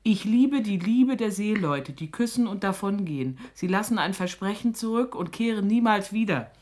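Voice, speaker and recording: A woman's voice: mid-range voice, animated, normal speed, darkish tone, lightly acted. An actress playing a suspicious, scolding middle-aged mother with an earthy, sarcastic manner in a radio drama. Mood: mocking, warning. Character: stern, sharp-tongued, suspicious mother of a young woman.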